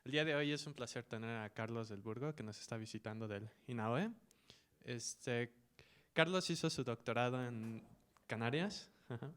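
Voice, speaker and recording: A man's voice: medium pitch, moderate pace, a bit bright, smooth. Mexican man introducing a speaker. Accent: Mexican Spanish. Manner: informal, hesitant. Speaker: colloquium host. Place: university auditorium.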